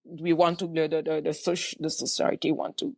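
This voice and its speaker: A woman's voice: low voice, little pitch movement, moderate pace, vocal fry, no echo. A woman, a podcast guest talking in an earnest, hesitant way, often restarting and searching for words. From Cameroon. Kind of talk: casual interview talk.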